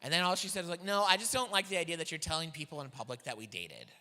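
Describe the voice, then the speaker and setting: A young man doing a stand-up set in a church hall, with a high voice for his size and a self-deprecating, animated way of telling stories. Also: racing. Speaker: stand-up comedian. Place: church hall with live audience. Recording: long hall reverb from a church stage.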